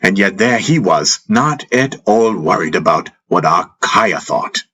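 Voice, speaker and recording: A man narrating a fantasy audiobook in a solemn, reverent, deliberate dramatic style, as a first-person storyteller. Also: sits low, lively intonation, pushed and bright, breathy edge. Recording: narration over a music soundtrack. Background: prominent music.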